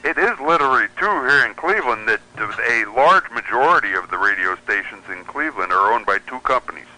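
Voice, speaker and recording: A man's voice: mid-range voice, expressive, fairly quick, bright, forceful tone, low-quality recording. An American man giving explanatory, opinionated commentary as a guest in a radio interview. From United States. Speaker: radio show guest, pirate radio enthusiast.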